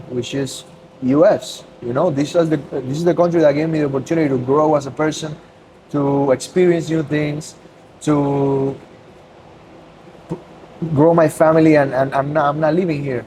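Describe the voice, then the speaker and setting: A young man, talking earnestly as a podcast guest in a loose, casual way and slipping into Spanish now and then. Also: on the high side. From Cuba. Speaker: guest, business owner. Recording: noisy ambience.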